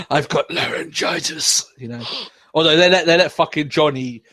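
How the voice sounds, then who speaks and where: A man's voice: a little high, lively intonation, a little slow, sharp tone, husky, no room sound. Laid-back swearing young man host. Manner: profane, laughs often, rambling. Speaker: podcast host. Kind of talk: casual wrestling review chat.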